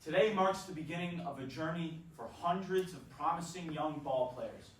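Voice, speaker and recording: A man's voice: on the high side, normal speed, natural voice. A man playing a formal league official making a ceremonial announcement in a staged play reading. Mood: formal, welcoming. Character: formal male baseball league draft official. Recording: very echoey, distant, faint noise.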